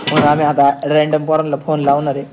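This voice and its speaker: A man's voice: a little high, monotone, fast talker. A young man with a Maharashtrian accent chatting casually and playfully with friends as a slangy prank vlogger. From Maharashtra, India. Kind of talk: casual vlog chat with friends. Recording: muffled audio with clear background noise.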